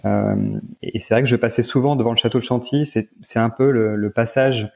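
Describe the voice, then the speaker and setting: A middle-aged man from northern France with a French accent, talking casually and haltingly as an interview guest. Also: mid-range voice, steady tone, vocal fry. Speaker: interview guest, events manager.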